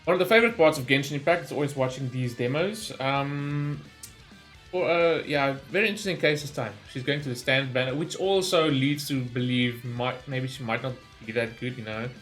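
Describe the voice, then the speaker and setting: A man giving casual, excitable and rambling reaction commentary as host of a gaming video. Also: mid-range voice, brisk, faint music behind.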